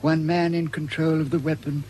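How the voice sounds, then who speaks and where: A man acts a drugged inventor in an old-fashioned radio serial. He sounds dazed and obedient, his voice a little high. A faint humming noise lies under it. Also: level tone, moderate pace, darkish tone, lightly acted, low-quality recording. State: groggy. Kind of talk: 1940s radio adventure serial acting.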